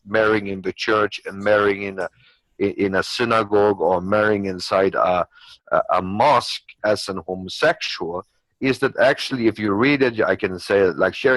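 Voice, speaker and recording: A man's voice: lowish voice, dry recording, rough recording. A man who is not a native English speaker, arguing his opinions in an unscripted discussion in a rambling, repetitive way. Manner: rambling, repetitive argument with hypothetical examples. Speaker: panelist.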